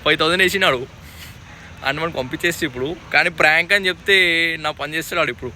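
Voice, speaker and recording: A man's voice: on the high side, quick pace, pushed and bright, faint music behind. A young man with a Telangana accent chatting casually in an informal, slangy way, repeating himself. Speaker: vlogger. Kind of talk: casual chat to camera and on a phone call. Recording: faint outdoor traffic noise.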